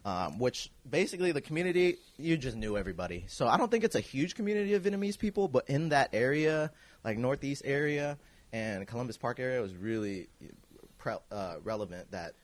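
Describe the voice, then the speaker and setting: A man in his thirties from Kansas City, a guest telling his story in a relaxed, rambling way, full of fillers and restarts. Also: mid-range voice, brisk, no echo. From Kansas City, USA. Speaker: guest, clothing designer. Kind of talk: casual podcast interview.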